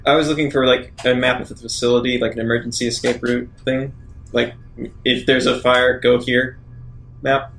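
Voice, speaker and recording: A man's voice: medium pitch, little pitch movement, normal speed, a bit bright, slight background noise. A man chatting casually as a player in a tabletop roleplaying game, asking questions and proposing actions.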